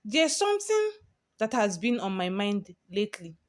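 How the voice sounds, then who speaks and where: A woman's voice: medium pitch, very animated, normal speed, lightly acted. A woman voicing a bullying teenage senior prefect, domineering and sly, in a story told aloud. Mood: sly, coaxing. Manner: barking, commanding, turning sickly sweet.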